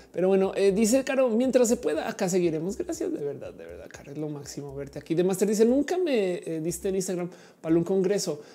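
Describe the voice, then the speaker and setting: A woman's voice: sits low, mellow. A woman in her forties hosting a livestream, reading viewers' messages in a chatty, playful way with lots of fillers. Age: 40s. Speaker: livestream host reading chat. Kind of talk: casual live chat.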